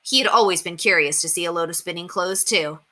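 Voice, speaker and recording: Bright-voiced woman reading a children's story. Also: on the low side, a little fast. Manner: animated, acts out dialogue. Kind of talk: expressive read-aloud narration.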